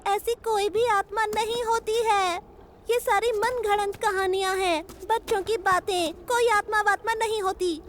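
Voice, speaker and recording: A woman voicing an excitable, doubting young male tiger cub in a children's cartoon, with a blunt, whiny way of talking. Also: very high-pitched, measured pace, slight background noise. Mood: sceptical, grumbly. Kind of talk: dubbed children's cartoon acting. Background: prominent music.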